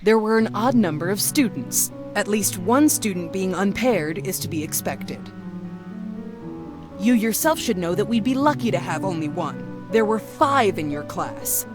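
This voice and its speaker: A woman voicing a harsh, impatient male head of a magic school in a visual novel, loud, curt and commanding. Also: medium pitch, lively intonation, unhurried, natural voice. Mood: stern, impatient. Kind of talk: visual novel character acting. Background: music.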